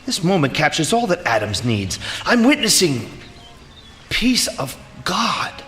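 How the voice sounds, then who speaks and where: An actor playing an exasperated, excitable and dreamy artist in a theatrical, exclamatory stage performance. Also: male voice, a little high, unhurried, faint music behind. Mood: hushed, awestruck. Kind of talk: theatrical live stage monologue. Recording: live theatre recording, clear background noise.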